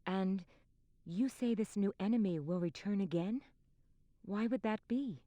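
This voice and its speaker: An actress playing a stately, commanding fantasy queen in a video game, speaking in formal, measured phrases. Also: medium pitch, dark tone, no echo, natural voice. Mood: measured, grave. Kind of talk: scripted video game voice acting.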